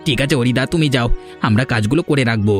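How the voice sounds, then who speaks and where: A man's voice: medium pitch, fast, faint music behind, close to an everyday voice. A man voicing a submissive village troublemaker in a cartoon, urgent and placating. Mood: anxious, placating. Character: hasty, submissive village troublemaker urging his partner on. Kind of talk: Bengali cartoon dialogue.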